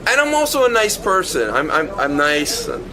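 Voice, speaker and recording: Halting, self-deprecating man amid chatter. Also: fairly high pitch, big pitch swings, low-quality recording. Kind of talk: casual interview chat.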